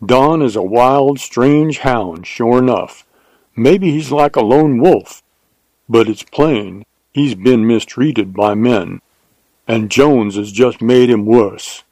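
A man voicing a plainspoken, excitable Texan cowboy with a leisurely drawl in an audiobook. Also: mid-range voice, slow pace, no echo. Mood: opinionated. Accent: Texas. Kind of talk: audiobook character dialogue.

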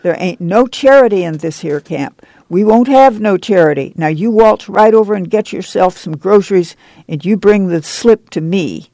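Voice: mid-range voice, normal speed, creaky, warm tone, no room sound, lightly acted. A woman voicing a stern, bossy country committee chairwoman who scolds in commanding, folksy rural dialect in a story reading. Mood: indignant, ordering.

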